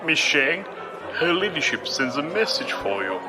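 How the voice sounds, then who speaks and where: A man's voice: a little high, big pitch swings, normal speed, rough recording. A man playing a polite, helpful servant in an audio drama, deferential and courteous. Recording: music behind the voice. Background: music.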